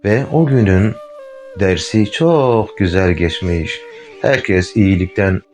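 Male storyteller, deep voice, gentle and unhurried, speaking to a child; faint flute underneath. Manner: gentle, unhurried, addresses the listener directly. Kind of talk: telling a fairy tale to a child. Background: faint flute music.

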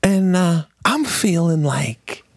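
A man's voice: on the high side, slow pace, gravelly, dry recording. A middle-aged male comedian co-hosting a podcast, telling stories animatedly in casual talk, acting out quotes and calling people bro, on a handheld mic in a small studio. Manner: animated, acts out quotes, repeats words, calls people bro. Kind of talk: casual storytelling.

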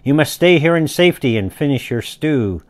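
Booming storybook baron, lordly and fatherly, as a man puts him on. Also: animated, moderate pace, natural voice. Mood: commanding. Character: noble baron father, protective and commanding.

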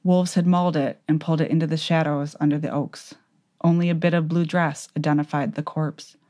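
A woman giving measured, dramatic scripted narration of a fantasy story. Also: low voice, level tone, warm tone.

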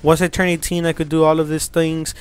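Smooth higher-voiced young man, rambling. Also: level tone, fairly quick. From Mexico. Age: young adult. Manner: rambling, earnest, many fillers and restarts. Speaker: gaming channel host. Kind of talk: casual commentary over gameplay.

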